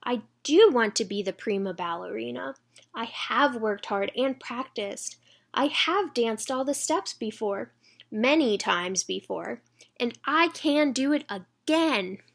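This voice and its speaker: Woman reading as a nervous, high-voiced young ballerina girl. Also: expressive, slow, gravelly, heavily acted. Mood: determined.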